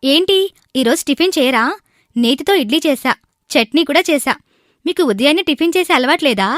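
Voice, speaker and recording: A woman's voice: high voice, animated, a little fast, fairly bright tone, dry recording, clean studio sound. A woman playing an eager young newlywed wife who is proud of her cooking, in a cartoon moral story. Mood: questioning, proud. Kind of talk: animated moral story dialogue.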